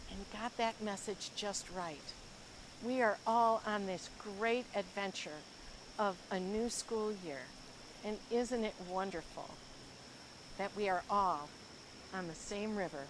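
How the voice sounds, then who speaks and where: A woman reading a picture book aloud to young children, with expressive, deliberate and dramatic phrasing. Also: medium pitch, noisy background. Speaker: school librarian reading story time.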